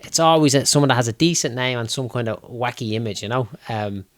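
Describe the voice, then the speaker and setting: A young man with a Dublin accent hosting a casual interview, chatty and quick to laugh, with the odd swear word. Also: mid-range voice, fairly bright tone, no echo, clean studio sound. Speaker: podcast host.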